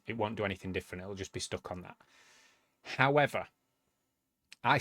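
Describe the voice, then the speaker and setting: A man's voice: lowish voice, no room sound, studio quality. A man casually walking viewers through a step-by-step tech tutorial, explaining as he goes. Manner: relaxed, explanatory, thinking aloud while demonstrating. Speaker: tutorial video host.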